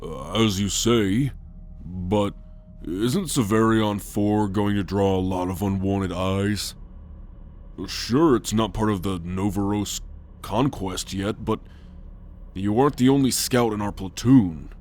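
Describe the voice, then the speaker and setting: A man's voice: on the low side, slow pace, a little mellow. A man acting a cautious, grumbling alien helmsman in a sci-fi ASMR roleplay, wary and grudgingly obedient.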